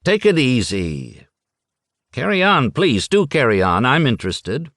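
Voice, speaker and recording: A man voicing a dutiful tavern landlord calming a drunk guest. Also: mid-range voice, normal speed, no room sound, clean studio sound, voice pushed up. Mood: soothing. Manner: soothing, placating.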